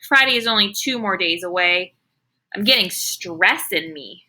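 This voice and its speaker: Woman voicing an earnest, bright-voiced little first-grade girl. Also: medium pitch, expressive, measured pace, heavily acted, poor audio. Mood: earnest, worried. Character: earnest, anxious first-grade girl narrating her own story. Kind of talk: children's storybook read-aloud.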